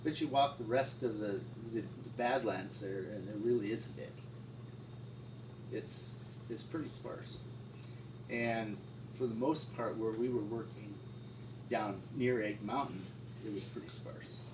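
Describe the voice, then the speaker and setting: Unhurried man telling stories, distant echoey recording. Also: on the low side. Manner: halting, unhurried, folksy. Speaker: paleontologist interviewee. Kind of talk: informal storytelling, reminiscing. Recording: distant mic, echoey room, loud noise.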